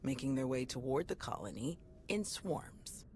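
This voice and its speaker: A woman's voice: rumbling low, animated, normal speed, a little mellow, slight background noise. A woman playing a tough, battle-hardened Jedi security chief in a video game, speaking in a blunt, sardonic, clipped way. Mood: brisk, wry. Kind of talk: video game cutscene voice acting. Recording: music behind the voice.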